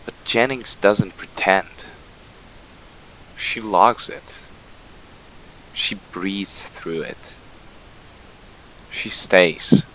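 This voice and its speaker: A man playing a haunted facility worker, giving a measured, brooding confession in an analog horror drama. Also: sits low, fairly bright tone, a little hiss or hum, exaggerated voice, poor audio. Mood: somber, resigned. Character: somber, haunted male facility worker confiding. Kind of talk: scripted horror monologue.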